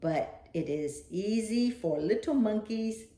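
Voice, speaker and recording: Female storyteller for children's read-aloud: very lively intonation, unhurried, playful and dramatic, recorded in an echoey room. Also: medium pitch. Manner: animated, dramatic, with playful asides to a young listener. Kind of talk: expressive children's picture book read-aloud.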